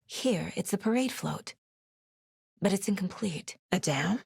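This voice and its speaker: An actress playing a level-headed, dry-witted FBI agent in a video game, with a measured, understated delivery as if thinking aloud. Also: female voice, mid-range voice, animated, vocal fry, darkish tone, breathy edge, no room sound, full character voice. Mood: pensive.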